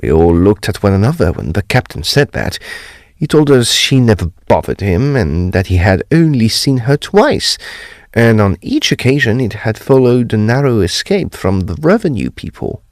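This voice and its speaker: An actor playing an earnest Edwardian gentleman, recounting a mystery in formal, emphatic phrases for an audio drama. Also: lowish voice, sing-song, moderate pace, no room sound, studio quality. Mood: measured explaining. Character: earnest, animated Edwardian English gentleman telling a ghost mystery. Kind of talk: period audio drama storytelling.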